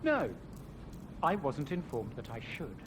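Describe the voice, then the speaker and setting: A man's voice: fairly high pitch, huge pitch swings, a little slow. An actor voicing a tired, irritated man who curtly complains about his travel troubles. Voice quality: curt, complaining. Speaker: game character. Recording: game audio with noisy ambience.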